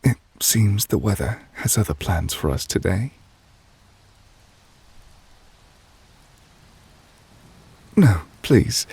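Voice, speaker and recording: A man playing a gentle, refined, possessive witch in love, speaking breathy and hushed in an intimate ASMR romance roleplay. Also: on the low side, exaggerated voice. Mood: coaxing, possessive. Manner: gentle, refined, wistful, formal phrasing. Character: soft-spoken, tender, possessive male witch in love.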